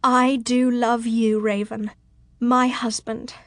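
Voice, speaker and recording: A woman's voice: a little high, flat delivery, slow pace, no room sound, studio quality. A woman voicing a proud, passionate medieval noblewoman in an audiobook, declaiming in impassioned, ringing tones. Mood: loving, emotional.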